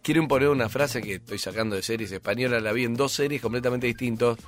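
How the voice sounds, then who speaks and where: Teasing Argentine host. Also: male voice, medium pitch, faint music behind. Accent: Rioplatense Argentine. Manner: lively, teasing, quick to interrupt. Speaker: show host. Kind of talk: casual studio banter.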